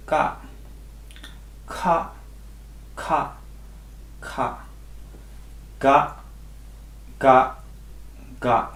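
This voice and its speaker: A man's voice: mid-range voice, a bit bright, reverberant. A man whose first language is Burmese, teaching a language lesson and patiently repeating each sound three times. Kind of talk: slow instructional lesson.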